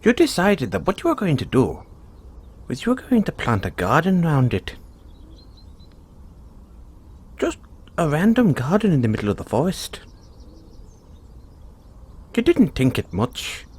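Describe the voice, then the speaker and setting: A cheerful leprechaun, played by a man speaks straight to the listener in an audio roleplay. His voice sits a little high and leaps up and down dramatically. He is kindly and a touch sly, with a teasing, folksy way of talking. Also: wildly swooping pitch, moderate pace, pauses often, darkish tone, husky, heavily acted. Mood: warm, touched. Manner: chuckling, teasing, folksy talk.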